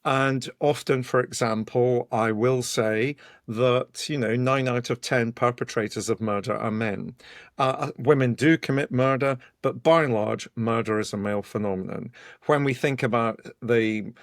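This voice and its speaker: A man with a hoarse voice, co-hosting a podcast from his home study and talking in a thoughtful, rambling way. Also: medium pitch, steady tone, unhurried, no echo, studio quality. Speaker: podcast co-host, criminologist. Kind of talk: casual podcast chat. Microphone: headphones and home microphone.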